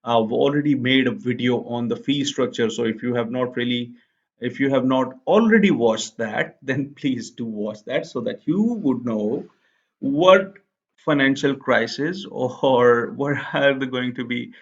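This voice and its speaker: A man's voice: mid-range voice, a little slow. A young man, an Urdu speaker, explaining a process step by step in a casual tutorial, often checking in with "okay". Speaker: immigration explainer. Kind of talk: casual screen-share tutorial. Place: small indoor room. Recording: slightly dull lo-fi sound.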